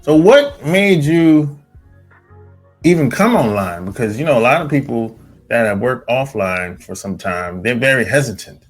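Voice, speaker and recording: A man with a mid-pitched voice hosts an interview podcast. He delivers his lines in short, halting chunks. Faint music sits behind his voice. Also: expressive. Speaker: podcast host. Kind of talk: interview chat with scripted sponsor read.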